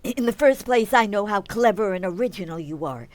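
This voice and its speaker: Knowing radio sitcom wife played by a woman, wry, fond and gently teasing, medium-pitched voice. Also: animated, brisk, no echo, close to an everyday voice. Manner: wry, affectionate. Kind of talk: old-time radio sitcom acting.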